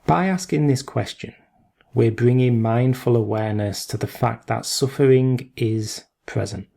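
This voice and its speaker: Guy doing guided meditation, really slow w long pauses, bit of vocal fry, gentle instructions. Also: medium pitch. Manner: unhurried, with long pauses between short phrases.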